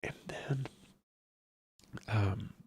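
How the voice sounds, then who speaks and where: An ASMR streamer chatting casually in a hushed, breathy whisper that slips into a soft murmur, rambling and repeating phrases. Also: very slow, a little hiss or hum. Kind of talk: ASMR, casual live chat.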